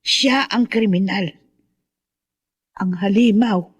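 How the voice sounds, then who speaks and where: A woman's voice: medium pitch, takes it slowly, slightly rough. An actress playing an anxious, sorrowful mother of a grown daughter in a radio horror drama, speaking emotively and hesitantly. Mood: sorrowful recollection.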